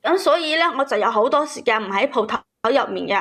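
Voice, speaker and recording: A high-pitched woman tells her own story as a caller. She speaks emphatically and repeats phrases as she goes. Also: animated, fast talker, fairly bright tone. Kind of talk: emotional personal storytelling to a host.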